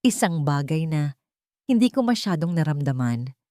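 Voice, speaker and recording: A woman playing a reserved young rich businessman in a narrated love story, gruff but caring. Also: deep voice, very animated, normal speed, warm tone, dry recording, clean studio sound. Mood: quiet, sincere.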